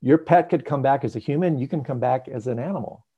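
A man hosting an online hangout, teaching in a casual, animated, joking way with tangents, heard over a video call. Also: mid-range voice, fast talker, breathy edge. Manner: animated, jokey, digressive. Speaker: host and meditation teacher. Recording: dull lo-fi video call audio.

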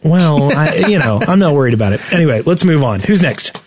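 A man's voice: on the high side, lively intonation, brisk, a little mellow, no room sound. Casual male podcast co-host, dry close sound. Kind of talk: casual gaming podcast chat.

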